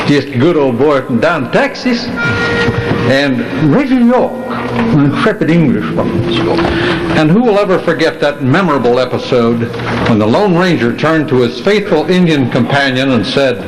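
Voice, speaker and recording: A man's voice: medium pitch, background noise. A man announcing a scripted old-time radio style show on stage with a theatrical, nostalgic delivery. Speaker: emcee of a choral show. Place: live stage in an echoing hall. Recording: roomy live hall recording, ambient sound.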